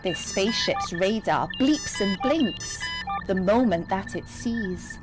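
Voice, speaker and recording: A woman reading a picture book aloud to young children, expressive and speaking straight to her listeners. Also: mid-range voice, slow pace, rough recording. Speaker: storyteller and teacher reading to children. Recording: music under the voice. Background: music and sound effects.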